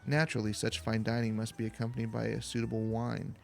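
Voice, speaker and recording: Man playing a haughty, gravelly mercenary boss. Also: on the low side, completely flat delivery, fast talker, darkish tone. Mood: pompous. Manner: condescending, imperious, formal. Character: haughty, demanding male former mercenary captain giving errands. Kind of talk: fantasy game dialogue read aloud.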